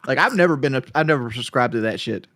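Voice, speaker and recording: A man's voice: medium pitch, lively intonation, quick pace, fairly bright tone, dry recording, clean studio sound. A man co-hosting a livestream, rambling casually with a slight stutter. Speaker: livestream co-host.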